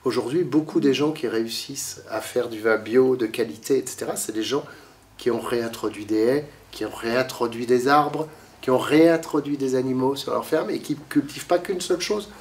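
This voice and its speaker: A man's voice: mid-range voice, a little fast, a little mellow, recorded from a distance. A man with a cold giving a talk to a library audience, lecturing with lots of fillers and restarts, coughing now and then. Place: library meeting room.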